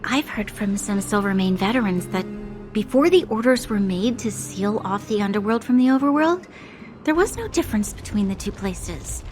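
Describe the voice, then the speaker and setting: A woman's voice: medium pitch, natural voice. A woman voicing an earnest, dutiful young noblewoman and officer in a video game, speaking formally and solemnly. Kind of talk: dramatic video game voice acting.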